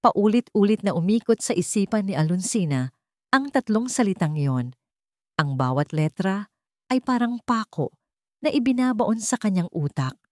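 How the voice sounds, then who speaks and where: A woman's voice: mid-range voice, sing-song, moderate pace, a little mellow, no room sound, studio quality. A woman reading a scripted, dramatic story as its narrator.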